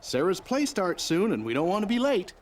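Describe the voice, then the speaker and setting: Higher-voiced, animated cartoon dad. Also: male voice, fairly quick. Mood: friendly, hurried. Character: friendly cartoon dad hurrying his family along. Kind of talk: scripted children's cartoon acting. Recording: slightly distant, faint music behind.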